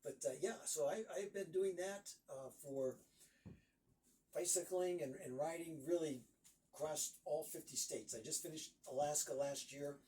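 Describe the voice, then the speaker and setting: A man's voice: on the high side, normal speed, dark tone, recorded from a distance, low-quality recording. A man in his sixties from Northeast Ohio, a guest author chatting casually in an interview and rambling with lots of fillers.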